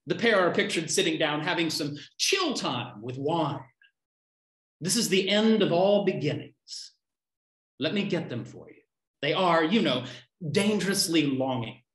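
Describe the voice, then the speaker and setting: A man in his fifties reading his poems aloud on a video call from home, declaiming short lines with deliberate pauses. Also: on the high side, animated, fairly bright tone. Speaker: poet reading own poems. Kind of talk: live poetry reading. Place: small room at home. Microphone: computer mic on a video call. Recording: roomy, echoey home video-call sound.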